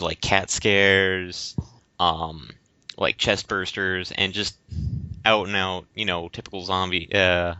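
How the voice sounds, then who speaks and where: A man co-hosting a casual discussion podcast, explaining things in a halting, rambling way. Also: lowish voice, sharp tone, no echo. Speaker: podcast co-host. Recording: dull, lo-fi voice recording.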